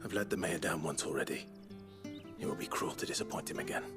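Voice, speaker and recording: A man's voice: deep voice, fast, natural voice. An actor playing a grave, dutiful nobleman in a game cutscene, restrained and courteous. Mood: solemn. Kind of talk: video game cutscene acting. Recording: music behind the voice.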